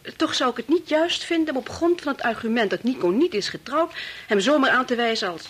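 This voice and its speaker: An actress playing a proud, composed married mother in a Dutch radio play, earnest and measured in manner. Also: sits high, expressive, fairly bright tone, breathy edge, heavily acted. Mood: reasoning. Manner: earnest, measured, shuns self-pity. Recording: faintly noisy old radio play recording.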